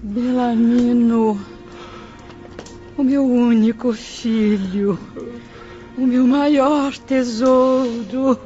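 An actress playing the sorrowful spirit of an old mother in a radio drama, mournful, solemn and drawn-out. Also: fairly high pitch, fairly even intonation, warm tone. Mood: sorrowful love. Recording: dull radio drama recording with music.

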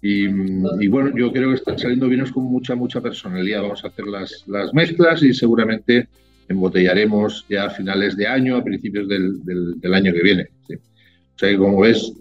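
A man's voice: fairly low pitch, moderate pace, dull audio, faint music behind. A man from Spain with a Spanish accent, a winemaker talking casually as an interview guest, rambling and full of tag questions.

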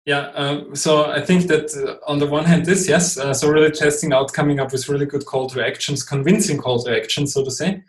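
A man's voice: fairly high pitch, steady tone, fairly quick, few pauses. German-accented man explaining in a roomy space. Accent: Austrian German. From Austria. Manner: hesitant, many fillers and restarts. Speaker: guest expert. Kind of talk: podcast interview.

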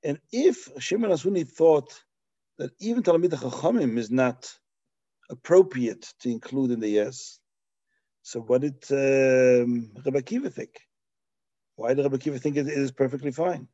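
A man's voice: mid-range voice, moderate pace, mellow, no room sound, muffled recording. A man raised in Belgium giving a Talmudic lecture, explaining passages with Hebrew and Yiddish terms and frequent restarts. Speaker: Torah teacher.

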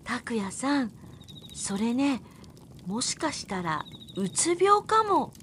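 A woman's voice: on the high side, big pitch swings, slow, fairly bright tone, a little hiss or hum, full character voice. An actress playing an earnest, heartbroken young woman in an audio drama, girlish and plaintive. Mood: concerned.

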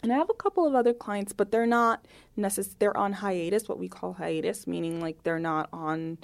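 A woman's voice: mid-range voice, normal speed, vocal fry. A woman in her late twenties chatting casually as an interview guest, often peppering her talk with "like". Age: late 20s.

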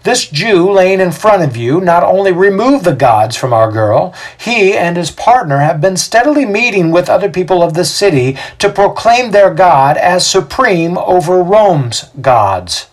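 Guy doing an indignant mob leader in an audio story, high pushed voice, husky, big theatrical speechifying. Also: animated, unhurried, few pauses. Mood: indignant accusing. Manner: declamatory, theatrical oratory. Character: male mob leader, scheming indignant rabble-rouser accusing prisoners.

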